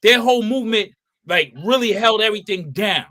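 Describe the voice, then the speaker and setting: A man nearly fifty co-hosting a casual hip-hop chat, rambling and full of "you know", sinking low as he reminisces. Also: a little fast, pushed and bright, no echo, studio quality. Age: 40s. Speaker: podcast co-host.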